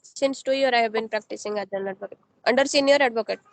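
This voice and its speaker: A woman's voice: a little high, fast. A woman from Odisha whose first language is Odia, chatting in simple, careful English as a practice conversation. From Odisha, India. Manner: simple, careful non-native phrasing. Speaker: guest, advocate.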